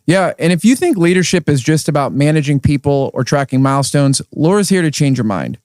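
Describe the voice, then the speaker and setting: A man's voice: fairly high pitch, lively intonation, brisk, darkish tone, no room sound, studio quality. A man co-hosting a podcast at a conference, talking casually with frequent restarts. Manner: chatty, with restarts and hedges. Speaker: podcast co-host. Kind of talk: casual interview chat. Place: conference venue.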